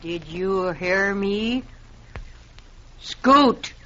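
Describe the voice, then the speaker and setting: A stubborn frontier widow. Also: female voice, medium pitch, extremely slow, fairly bright tone. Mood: defiant. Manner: defiant, clipped, scornful. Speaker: landowner holding a rifle. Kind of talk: 1950s radio drama acting. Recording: dull old radio recording with faint hiss.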